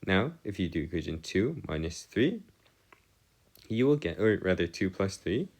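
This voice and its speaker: A man giving a step-by-step math lesson as a tutor, halting and pausing to check himself, with formal, bookish wording. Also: sits low, very animated, a little mellow. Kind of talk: lesson, working through equations step by step.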